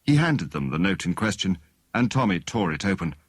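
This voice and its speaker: A man's voice: deep voice, big pitch swings, a little fast, breathy edge, no room sound. A man reading a scripted story as an audiobook narrator.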